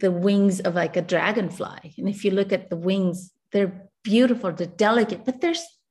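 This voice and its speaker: A woman in her forties from Chile, an artist reflecting in a relaxed interview over a video call, laughing often. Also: mid-range voice, moderate pace.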